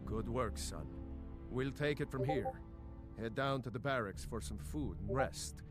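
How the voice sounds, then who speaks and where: A man's voice: medium pitch, close to an everyday voice. A man acting a commanding lord, music behind. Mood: commanding, concerned. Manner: measured, authoritative. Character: commanding, paternal lord of a hall. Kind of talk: fantasy video game acting. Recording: loud game music behind.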